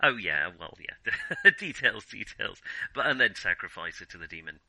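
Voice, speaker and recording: A man's voice: fairly low pitch, big pitch swings, moderate pace, vocal fry, bright, forceful tone, no room sound. A man hosting a podcast, chatting casually about films in a wry, self-deprecating, jokey way. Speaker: podcast host. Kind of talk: casual film discussion with playful scripted intro.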